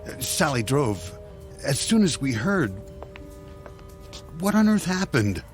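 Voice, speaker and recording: An actor playing a concerned, urgent husband in a radio drama. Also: male voice, medium pitch, very animated, takes it slowly, soft background music. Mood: worried. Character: worried, hurried husband of a wealthy woman.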